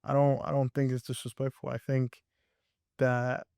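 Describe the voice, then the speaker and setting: Earnest man with a flat, smooth voice. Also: medium pitch, normal speed, dry recording, clean studio sound. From Puerto Rico, raised in Connecticut. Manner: earnest, reflective, with frequent restarts. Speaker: guest filmmaker. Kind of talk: casual interview storytelling.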